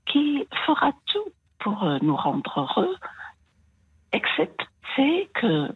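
A woman's voice: on the high side, huge pitch swings, takes it slowly, slightly rough, no echo. A woman in her sixties with a slight American accent in her French, calling in to a radio show and talking hesitantly with many fillers. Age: 60s. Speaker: caller. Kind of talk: phone-in confession. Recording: dull long-distance phone line.

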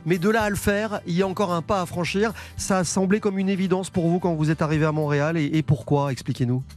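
Man, radio host, chatty, lively, slightly high voice, faint music. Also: fast. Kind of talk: radio interview.

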